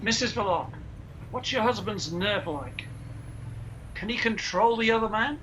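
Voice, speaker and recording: A man playing a brisk, commanding Scotland Yard inspector in a live radio play. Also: high-pitched, expressive, pushed and bright, a little hiss or hum, full character voice, rough recording. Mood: brisk, questioning.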